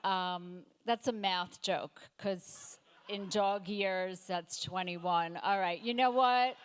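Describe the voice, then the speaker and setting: A woman in her fifties doing a stand-up comedy set, delivering deadpan one-liners with halting fillers and self-corrections. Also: medium pitch, a little hiss or hum. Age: 50s. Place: comedy night stage before a live audience.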